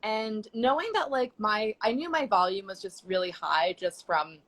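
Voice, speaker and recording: A woman's voice: medium pitch, normal speed, fairly bright tone. A young woman chatting casually as a guest on a live video call, talking loosely with many fillers. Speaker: guest sex educator. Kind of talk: casual chat on a live stream. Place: home.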